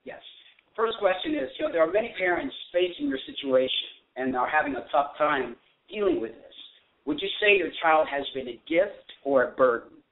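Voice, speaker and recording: A man's voice: fairly high pitch, big pitch swings, moderate pace. A man hosting a call-in radio show, speaking English as a second language, praising his guest earnestly and reading prepared questions. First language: not English. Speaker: radio host. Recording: phone-like lo-fi sound.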